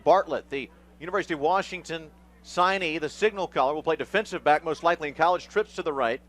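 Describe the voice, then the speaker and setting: Bright, high-pitched man calling football live. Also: fast talker. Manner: clipped phrases, emphatic calls on big plays. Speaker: play-by-play announcer. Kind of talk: live radio football play-by-play.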